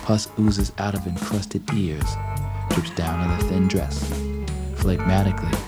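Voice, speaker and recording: A low-voiced, gravelly narrator reciting literary prose in an art radio piece, with music behind. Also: measured pace. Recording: plucked guitar music behind the voice. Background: plucked string instrument, guitar.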